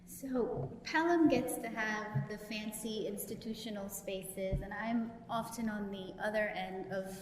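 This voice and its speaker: Woman, Guyanese accent, panel speaker, mid-pitched, roomy gallery reverb, faint background hum. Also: a little slow, few pauses. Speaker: panelist and professor. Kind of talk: public panel talk. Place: gallery event space. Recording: echoey gallery room with long reverb.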